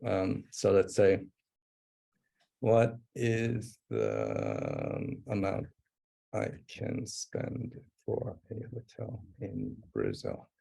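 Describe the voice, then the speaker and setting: A man's voice: lowish voice, sing-song, very slow, creaky, mellow. A man presenting a live webinar demo, thinking aloud as he types, with frequent fillers and restarts. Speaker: presenter demonstrating software.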